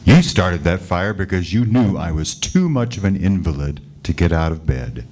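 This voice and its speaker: A man voicing the vengeful ghost of a husband who was murdered, speaking calmly and coldly as he accuses his wife in an old-time radio drama. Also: deep voice, moderate pace. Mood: accusing. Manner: accusing, calm and cold.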